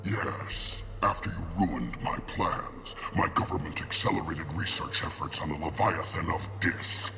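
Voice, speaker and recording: An actor playing a bitter, proud alien military officer in a video game, speaking in a gravelly, menacing, accusing growl. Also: male voice, low voice, a little slow, hardly any pauses. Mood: bitter menace. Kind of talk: dramatic video game acting.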